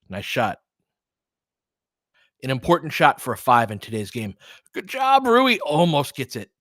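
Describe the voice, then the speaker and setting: A man's voice: fairly low pitch, fairly bright tone, dry recording, studio quality. A man giving live basketball play-by-play and casual analysis as a candid, colloquial fan commentator who reacts openly and swears.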